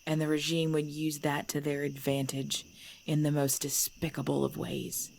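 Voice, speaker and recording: A woman playing a centuries-old female vampire clan leader in an ASMR audio drama, composed and commanding, speaking in measured, formal phrases. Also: sits low, monotone, creaky, a little mellow. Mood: grave. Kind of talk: ASMR audio roleplay acting. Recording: background noise with chirping crickets.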